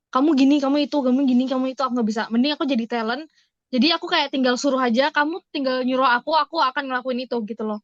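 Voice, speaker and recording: High-pitched Indonesian woman, slightly dull recording. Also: dry recording. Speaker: podcast guest. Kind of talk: casual chat. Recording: slightly dull, dry close sound.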